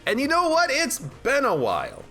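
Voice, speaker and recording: A man's voice: very high-pitched, huge pitch swings, normal speed, creaky, sharp tone. A man casually commenting on a video game and chatting with viewers, stuffed up from allergies and rambling with jokes at his own expense, game music and gunfire playing behind him. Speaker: game streamer. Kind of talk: casual let's-play commentary. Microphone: own desk microphone.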